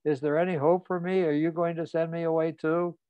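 A man's voice: a little high, little pitch movement, mellow, clean tone, no room sound, lo-fi sound, natural voice. A man reading an audiobook, voicing a frightened, ashamed man who speaks in a muted voice. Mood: shamed. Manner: muted, halting, confessional. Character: ashamed, frightened middle-aged man confessing.

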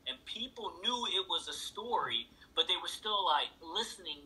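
A man's voice: high-pitched, animated, moderate pace, sharp tone, low-quality recording. A middle-aged man speaking casually and emphatically as an interviewed author on a video call, repeating phrases for effect. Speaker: interviewed author and thru-hiker. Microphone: video call played through a laptop speaker.

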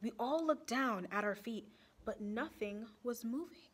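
A young woman with a high, lively voice reads a children's picture book aloud. Her pitch moves up and down a lot, and she speaks expressively, turning to ask her young listeners questions. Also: unhurried, breathy edge. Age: young adult. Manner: animated, asks the children questions. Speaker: storytime reader for children.

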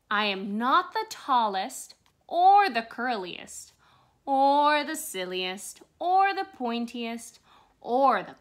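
A small, anxious blade of grass voiced by a woman in a storybook, unsure of itself. It speaks slowly and hesitantly, with a plaintive high voice that wobbles widely between low and high. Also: a bit bright, exaggerated voice.

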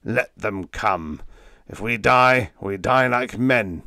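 Sci-fi story captain male reader acting: big, blustering, defiant old-school skipper type with a stuffy, rasping delivery. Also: fairly low pitch, slow pace, bright, forceful tone, no echo, clean studio sound, full character voice. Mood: fierce defiance. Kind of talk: dramatised science fiction story reading.

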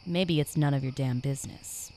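An actress playing a sly, teasing cat burglar in a radio noir, coy and taunting. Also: female voice, extremely low pitch, moderate pace, mellow.

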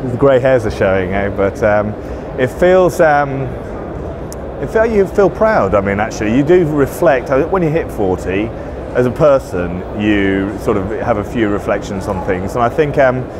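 Male executive, forties, halting but keen conversational interview delivery, clear exhibition noise behind him. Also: mid-range voice, lively intonation. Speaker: managing director being interviewed. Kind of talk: conversational trade-show interview. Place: large indoor trade show floor. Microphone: lapel. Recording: noisy busy exhibition hall. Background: loud trade show ambience.

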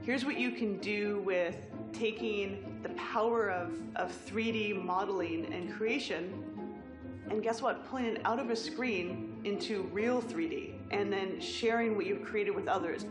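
A woman's voice: medium pitch, measured pace. Woman in promo interview over music. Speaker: technology developer. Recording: roomy sound with music bed.

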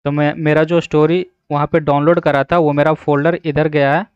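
Higher-pitched man giving a clear tutorial. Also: little pitch movement, fairly quick, dry recording. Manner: repetitive verbal check-ins, instructional. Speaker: tutorial host. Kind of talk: step-by-step app tutorial narration. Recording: slightly dull, dry voice-over.

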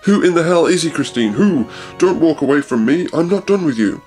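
A man's voice: a little high, big pitch swings, brisk, a little mellow, soft background music, pitched above the performer's own voice. Angry, jealous young husband, raised and accusing; a man voicing him. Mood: yelling.

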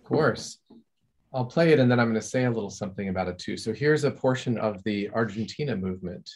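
A man from California, a composer, explaining his music in a relaxed, chatty way over a video call from his home study. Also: fairly low pitch, fast, slight background noise. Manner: relaxed, chatty, restarts and fillers. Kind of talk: video-call masterclass discussion.

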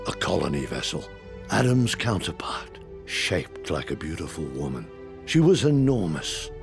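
An actor narrating a science fiction audio drama as a grave storyteller. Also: male voice, deep voice, sing-song, measured pace, gravelly, exaggerated voice. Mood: solemn. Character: grave male narrator of a space adventure. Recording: music under the voice.

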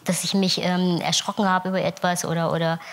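A woman in her thirties, born in Turkey, telling her own story as a guest in a TV studio, frank and quick to laugh. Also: fairly low pitch, level tone. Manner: frank, laughs easily, many fillers. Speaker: talk show guest. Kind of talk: casual chat. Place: TV studio with audience. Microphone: lapel.

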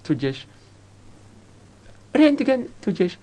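A woman voicing a pompous, flustered professor of medicine in a comic radio sketch, repeating words in quick bursts. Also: medium pitch, sing-song, warm tone, exaggerated voice. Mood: brisk, dismissive.

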